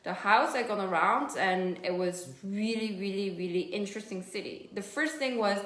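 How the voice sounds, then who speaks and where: A chatty woman in her thirties with a Korean accent tells stories in loose, informal English. Her voice sits at a middle pitch, and the room around her sounds echoey. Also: normal speed, fairly bright tone. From South Korea. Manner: chatty, storytelling, loose non-native grammar. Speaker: host of a live stream. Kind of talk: casual chat.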